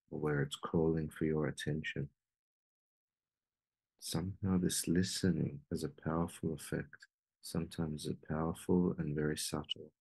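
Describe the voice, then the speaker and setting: A man with an extremely low voice guides a meditation. His delivery is slow and fairly flat, with long pauses between phrases. The tone is slightly husky rather than clear.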